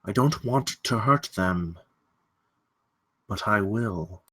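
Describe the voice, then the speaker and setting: An actor playing a menacing, courteous gentleman villain in a script table read, telling his tale in deliberate, ornate, theatrical phrasing. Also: on the low side, lively intonation, exaggerated voice. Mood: quiet threat.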